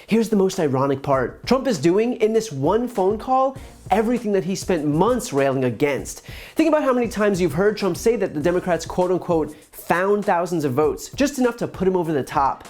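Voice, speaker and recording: High-pitched American man, political commentator. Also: very animated, moderate pace, a little mellow, faint music behind. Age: 30s. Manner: emphatic, sarcastic asides. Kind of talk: scripted political commentary to camera. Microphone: lapel mic.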